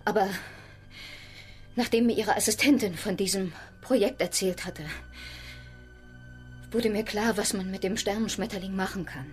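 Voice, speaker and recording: A woman's voice: medium pitch, moderate pace, long pauses, soft background music, natural voice. Woman acting a blunt, resentful woman. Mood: cold, resentful. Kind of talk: radio drama acting.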